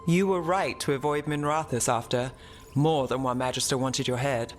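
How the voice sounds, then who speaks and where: An actor playing a cool, dry-witted investigator in a fantasy video game, wry and matter-of-fact. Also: male voice, mid-range voice, moderate pace, faint music behind, lightly acted.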